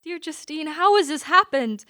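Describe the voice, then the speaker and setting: A woman's voice: high-pitched, expressive, moderate pace, pushed and bright, no room sound, clean studio sound. An actress playing a tender, fiercely loyal young woman in a radio play, emotional and imploring. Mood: distraught.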